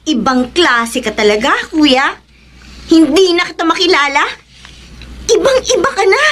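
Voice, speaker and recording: A woman's voice: high voice, animated, measured pace, noisy background. A woman with a Filipino accent playing a loyal, outspoken young daughter in a radio drama. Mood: indignant. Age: young adult. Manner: earnest, outspoken. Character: helpful, loyal daughter, outspoken in defending her mother.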